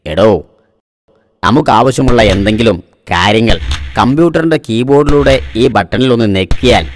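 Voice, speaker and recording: A man's voice: mid-range voice, in a large hall, a little hiss or hum, lightly acted. A man voicing a boastful, easily exasperated self-styled computer expert in a comic cartoon. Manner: pompous, boastful.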